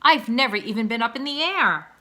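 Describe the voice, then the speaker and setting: An excitable baby swan from a storybook, played by a woman, curious and a little anxious. The voice is childlike, raised high and bright, swinging widely as it exclaims its questions. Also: fairly quick. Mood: worried. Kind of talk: children's storybook read-aloud.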